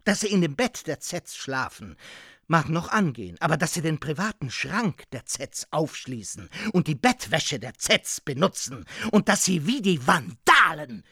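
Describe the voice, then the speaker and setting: An actor playing an indignant, self-righteous, pedantic homeowner in a radio play, speaking pompously and with heavy emphasis. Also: male voice, a little high, sing-song, moderate pace, pushed and bright, breathy edge, dry recording, clean studio sound, full character voice. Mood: indignant, accusing. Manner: pompous, emphatic, repeating key phrases for weight. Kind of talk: German radio play acting.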